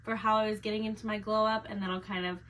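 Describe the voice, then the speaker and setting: Bright, upbeat woman fitness vlogger. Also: medium pitch, flat delivery, quick pace, slight background noise, rough recording. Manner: upbeat, chatty, explains step by step; reads figures off a screen. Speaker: personal trainer and vlogger. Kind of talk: casual fitness vlog talk.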